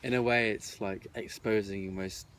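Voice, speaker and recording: A man's voice: fairly low pitch, steady tone, moderate pace, a bit bright, a little hiss or hum. A man with a stammer, speaking frankly and wryly as a podcast co-host. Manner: frank and wry, occasional stutter repeats. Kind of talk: casual discussion.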